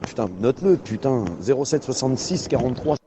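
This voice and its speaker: A man's voice: mid-range voice, animated, fairly quick. A man chatting over CB radio with crude, mocking banter and frequent laughter. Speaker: CB radio caller. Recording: muffled CB radio with static.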